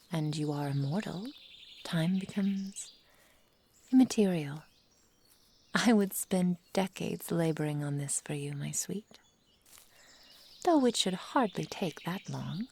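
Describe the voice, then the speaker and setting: Casting: possessive immortal witch played by a woman, low-pitched, whispery and mellow, formal and tenderly doting, for ASMR roleplay. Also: exaggerated voice. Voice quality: soft, breathy, whispery. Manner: tender endearments, formal old-fashioned storybook phrasing. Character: possessive, adoring immortal witch living in the forest. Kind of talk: intimate ASMR roleplay, slow dramatic delivery.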